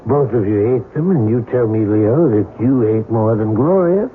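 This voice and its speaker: A man acting a matter-of-fact, paternal family doctor who gently scolds, in a 1970s radio drama. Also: medium pitch, expressive, normal speed, lightly acted. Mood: gently scolding. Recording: thin, phone-like, noisy old radio recording.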